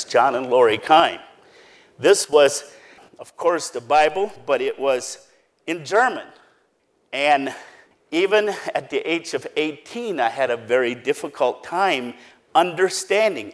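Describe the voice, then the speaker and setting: A man whose first language is Pennsylvania Dutch, giving an earnest, plain-spoken talk and explaining step by step. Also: medium pitch. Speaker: speaker giving a presentation.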